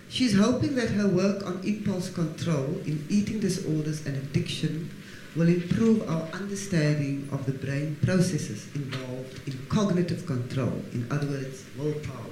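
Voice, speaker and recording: A man introducing a guest speaker in a halting way, correcting himself, with light humor. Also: on the high side, darkish tone. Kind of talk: formal introduction. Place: lecture hall. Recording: echoey hall with hiss.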